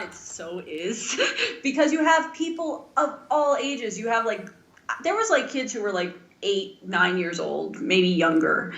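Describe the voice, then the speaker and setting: A woman's voice: mid-range voice, fairly bright tone, reverberant. A young woman chatting casually as a podcast co-host, rambling along with enthusiasm. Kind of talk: casual chat.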